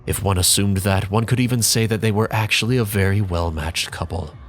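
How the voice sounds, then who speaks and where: Casting note: man, very low register, dramatic first-person reading, measured and bitter in tone, faint music underneath. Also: fairly even intonation. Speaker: reader.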